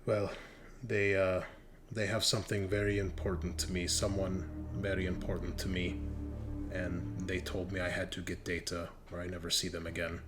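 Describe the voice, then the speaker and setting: A man voicing a weary, regretful woman who was once a spy, speaking halting, clipped English in a tabletop game. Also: low voice, little pitch movement, music in the background, pitched below the performer's own voice, lightly acted. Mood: ashamed, regretful. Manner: halting, broken English without articles.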